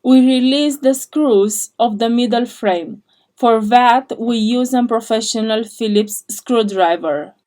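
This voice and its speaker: A woman narrating a scripted step-by-step repair tutorial in short, measured sentences. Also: a little high, a little mellow.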